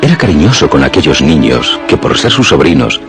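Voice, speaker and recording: Very deep-voiced Castilian man narrating. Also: sing-song. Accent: Castilian Spanish. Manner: measured storytelling in formal, literary phrasing. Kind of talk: literary scripted narration for radio suspense drama. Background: music.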